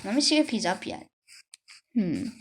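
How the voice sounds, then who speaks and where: A woman reading a children's book aloud in an expressive, conversational storytelling style with asides. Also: medium pitch, moderate pace, vocal fry, noisy background. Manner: conversational first-person delivery with asides. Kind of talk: expressive read-aloud narration.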